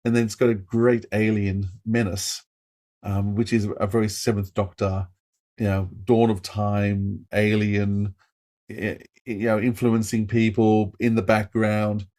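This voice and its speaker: Low-pitched male podcast host. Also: flat delivery, unhurried, mellow. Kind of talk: casual fan discussion and interviewing.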